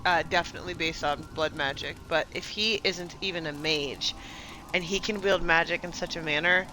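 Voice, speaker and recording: A woman's voice: on the low side, background noise. A woman streaming a game with casual live commentary, often repeating her words.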